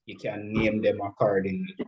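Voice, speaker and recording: A man speaking as a music producer guest, explaining things deliberately, step by step, in an interview. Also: lowish voice, little pitch movement, breathy edge, highs cut off.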